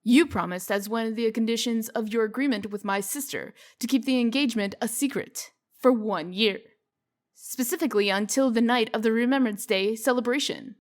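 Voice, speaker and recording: A woman voicing a brisk, shrewd female official in a comic dub, firm, dry and sardonic in manner. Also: fairly high pitch, close to an everyday voice. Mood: stern, businesslike.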